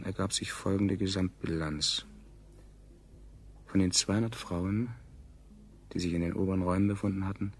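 Deep, gravelly, deadpan chronicler. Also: male voice. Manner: deadpan, clinical, ironic. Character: male chronicler of a doomed science colony, coolly sardonic. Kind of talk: scripted radio play narration. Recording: 1970s radio production, clear background noise.

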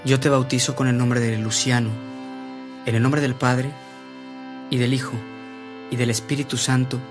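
Man acting a solemn priest over clear music. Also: medium pitch, little pitch movement, normal speed, close to an everyday voice. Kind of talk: radio drama acting.

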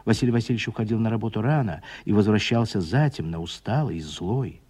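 A man narrating a literary radio play with expressive, scripted storytelling. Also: fairly low pitch, fast, husky.

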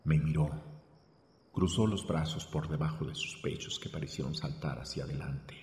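A man's voice: extremely low pitch, normal speed, darkish tone, a little hiss or hum. An actor with a Mexican accent playing an anxious, obsessed man of thirty-two who narrates a radio drama in the first person. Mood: brooding. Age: 30s. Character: obsessed, anxious 32-year-old man narrating his troubled thoughts. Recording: echoing long reverb, music underneath.

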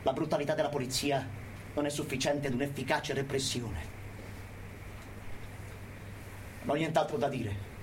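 A man's voice: medium pitch, brisk, slight background noise, lightly acted, poor audio. A man playing a defiant, unrepentant anarchist militant in a declamatory, emphatic theatrical monologue to his judges. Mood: resolute, defiant.

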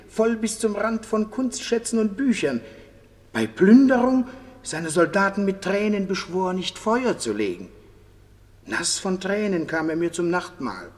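High-voiced, grateful army cook. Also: male voice. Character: proud, grateful male army cook among the dead. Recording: long hollow reverb.

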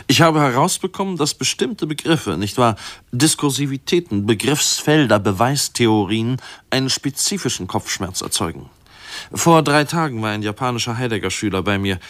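A man's voice: mid-range voice, a bit bright. An actor playing a pompous, long-winded male medical researcher who lectures didactically in a radio play. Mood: pompous, excited.

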